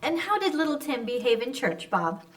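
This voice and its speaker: A woman's voice: high voice, sing-song, normal speed. A woman playing a bustling, outspoken, motherly housewife in an old-time radio drama. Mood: curious. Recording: slightly distant from the mic.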